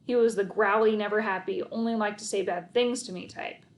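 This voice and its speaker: A woman's voice: medium pitch, steady tone, fairly quick. A woman narrating a children's book aloud in the first person, reading expressively.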